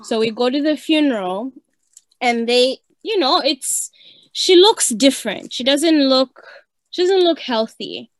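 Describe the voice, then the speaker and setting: A woman's voice: high voice, expressive, unhurried, no echo. A woman from Uganda hosting a podcast, chatty and encouraging, often affirming her guest. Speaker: podcast host. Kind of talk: conversational podcast chat.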